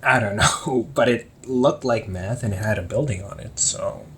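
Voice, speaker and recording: A man's voice: fairly low pitch, a little slow, a bit bright, a little hiss or hum. A man acting out a chatty, teasing young older brother who runs a hobby shop, talking one-sided to the listener in an audio roleplay and laughing often. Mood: casual.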